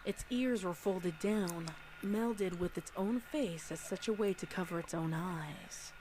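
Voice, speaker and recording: A woman's voice: on the low side, a little slow, a little mellow, rough recording. A woman reading fan fiction aloud as a storyteller, with casual reaction asides. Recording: faint background noise.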